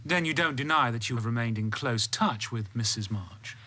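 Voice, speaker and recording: Crisp-edged, probing security man. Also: mid-range voice, moderate pace, bright, forceful tone. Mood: insinuating. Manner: formal, polite, probing. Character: courteous, insinuating male security officer, coolly persistent. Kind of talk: radio drama interrogation.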